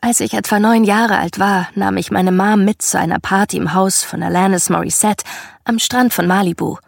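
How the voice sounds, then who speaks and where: A woman narrating a first-person memoir as a scripted audiobook, in a measured, reflective storytelling manner. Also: medium pitch, fairly even intonation, creaky, no room sound, studio quality.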